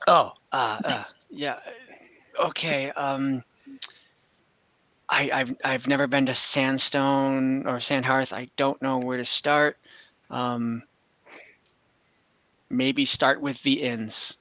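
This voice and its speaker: A man's voice: medium pitch, steady tone, takes it slowly, pauses often, bright, forceful tone, no room sound, highs cut off, voice pushed down. A man voicing a hesitant, flustered fantasy game character who stammers and sounds unsure as he answers a message. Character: hesitant, flustered distant contact replying by magic message.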